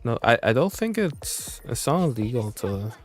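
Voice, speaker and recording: A man streaming a game and chatting casually in a rambling, halting way that repeats words. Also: medium pitch, sing-song. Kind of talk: casual livestream chat. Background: game music and voices.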